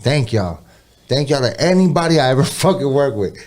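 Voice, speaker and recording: A man's voice: medium pitch, animated, fairly quick, darkish tone. A man in his thirties from California, a rapper and producer, chatting casually on a podcast while tipsy, with loose, profane street slang. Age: 30s.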